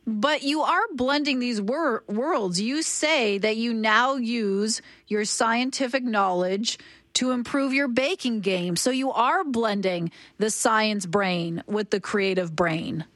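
A radio host with a fairly high woman's voice asks her guest animated, leading questions. Her sound is dry and close, with no room echo. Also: takes it slowly. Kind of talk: radio interview.

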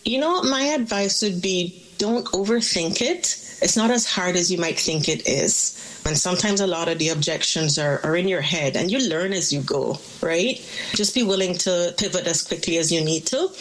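A woman's voice: fairly low pitch, expressive, moderate pace, poor audio. A middle-aged woman, born in Canada and raised in Jamaica, chatting as a small business owner in a radio interview and laughing often as she talks.

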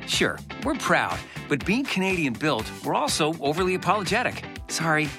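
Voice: medium pitch, big pitch swings, normal speed. An announcer reading a scripted, tongue-in-cheek radio car commercial. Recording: music bed under voice. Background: music.

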